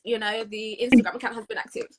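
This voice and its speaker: A woman's voice: fairly high pitch, flat delivery, fast, crowd noise. A British woman in her twenties chatting casually as a podcast co-host, emphatic and slangy, dropping in Spanish phrases. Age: 20s. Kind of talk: casual chat.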